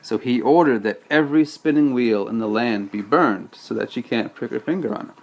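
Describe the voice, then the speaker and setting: A man's voice: mid-range voice, brisk, a little mellow, dull audio. A man reading a storybook aloud as a father, patiently breaking off to explain things casually to a child.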